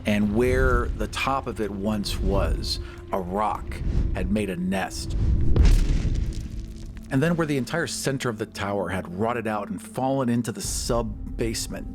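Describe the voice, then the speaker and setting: A man's voice: fairly low pitch, unhurried, music in the background. A man co-hosting a podcast, telling game stories in casual conversation. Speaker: podcast co-host and game master. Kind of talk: casual chat and storytelling.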